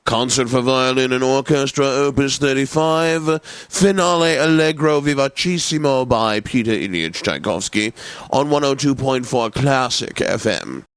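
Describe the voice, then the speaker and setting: An actor playing a pompous, genteel classical radio DJ in a video game, announcing in a precise and theatrically obliging manner. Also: male voice, medium pitch, expressive, unhurried, clear, resonant tone, dry recording, close to an everyday voice. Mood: stately, smooth announcing. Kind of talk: scripted radio continuity announcing.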